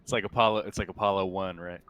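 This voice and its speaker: A man's voice: low voice, steady tone, very fast, fairly bright tone, clear, resonant tone. A man chatting casually as game master of a tabletop roleplaying game.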